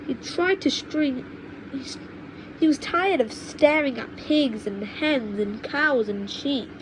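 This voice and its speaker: A woman narrating a children's storybook aloud, halting now and then to reread and correct her words on a low-quality home microphone. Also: background noise. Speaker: audiobook reader. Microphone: cheap home microphone.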